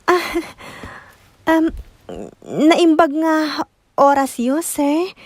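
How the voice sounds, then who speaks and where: An actress playing an excitable, easily angered young woman in an Ilocano radio drama, with a Filipino accent. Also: high voice, drawn-out words, no echo. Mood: hesitant, confused.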